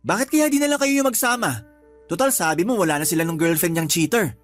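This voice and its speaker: A man's voice: high-pitched, expressive, quick pace, music in the background. A man playing a jealous, insecure young boyfriend in a radio love drama, accusing and defensive. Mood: irritated, sulky.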